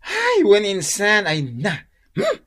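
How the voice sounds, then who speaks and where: A woman's voice: mid-range voice, huge pitch swings, slow pace, exaggerated voice. An actress playing an outspoken woman character in a radio drama. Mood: sympathetic.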